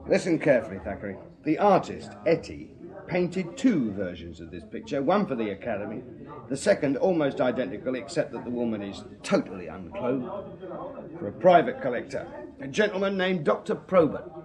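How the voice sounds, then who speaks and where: A shrewd Victorian detective sergeant with an English accent, played by a male actor in a radio drama. He speaks tersely and dryly at a middling pitch, like a working policeman giving the facts. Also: sing-song, moderate pace, crowd noise, natural voice. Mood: brisk, authoritative. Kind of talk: 1980s British radio drama acting.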